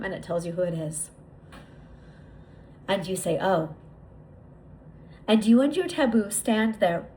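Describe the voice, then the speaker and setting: A woman guides a meditation in a medium-pitched voice. She speaks deliberately, repeating phrases and leaving lingering pauses as she leads the listener through a visualization. There is faint ambient noise behind her. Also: low-quality recording.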